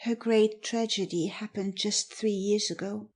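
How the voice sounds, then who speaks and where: A woman playing a self-possessed young girl who tells an eerie tale in a soft, confiding way for an audiobook. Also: mid-range voice, steady tone, measured pace. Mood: composed, confiding. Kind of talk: dramatic audiobook reading.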